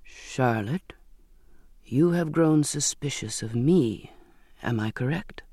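A narrator voicing an old seaman in an audiobook, a gentle, shrewd friend who coaxes earnestly in formal, old-fashioned speech. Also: mid-range voice, animated, slow, breathy edge, dry recording, studio quality, full character voice. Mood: sober, probing.

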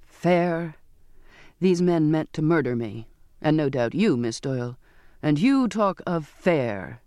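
A man's voice: on the high side, expressive, normal speed, lightly acted. A stern ship's captain acted out by a male reader for a dramatised sea story. He is imperious and scornful, pressing every order with cruel authority. The sound is dry, without room echo. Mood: derisive. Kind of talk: dramatised audiobook dialogue.

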